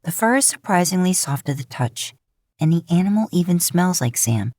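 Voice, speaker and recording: A woman's voice: lowish voice, very animated, normal speed, warm tone, no room sound, studio quality. A woman reading scripted fan fiction aloud as a story narrator. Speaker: podfic narrator.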